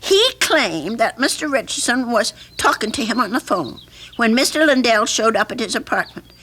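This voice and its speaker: An actress playing an anxious, earnest older woman with a troubled conscience in a radio drama, speaking haltingly. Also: fairly high pitch, animated, bright, forceful tone, breathy edge, full character voice. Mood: earnest, insistent. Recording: faintly noisy archival radio recording.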